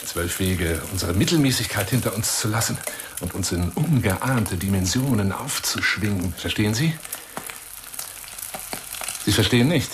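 A man's voice: on the low side, expressive, normal speed, pauses often, noisy background, natural voice. An actor in a radio drama monologue playing a pompous, flirtatious, lonely self-styled lion hunter who courts a woman by telephone in grandiloquent, insistent phrases. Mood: grandiose, insistent.

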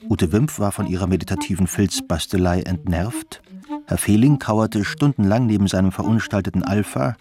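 A man's voice: sits low, normal speed, music in the background. A man narrating a literary radio drama from a script.

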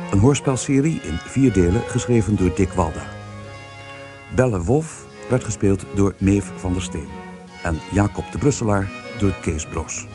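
A man reading scripted closing credits as a radio drama announcer. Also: on the low side. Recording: radio announcement over string music.

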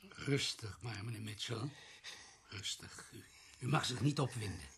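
An actor in a radio play playing a composed male doctor with a husky voice, soothing and matter-of-fact. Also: lowish voice, unhurried, fairly bright tone, full character voice. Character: composed male doctor attending a gravely wounded patient.

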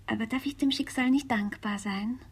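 A gentle young lover in a classical radio comedy. Her voice sits fairly high and is soft-edged and breathy. She sounds earnest, prudent and devoted. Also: normal speed. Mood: tender.

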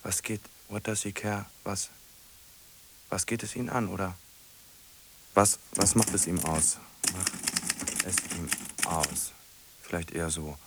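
Slow, low-voiced brooding solitary man. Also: flat delivery, heavy background noise. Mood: pensive, searching. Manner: halting, repeats phrases, mutters questions to himself. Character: solitary man musing aloud, translating a melancholy song. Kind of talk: radio play monologue. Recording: music playing behind the voice.

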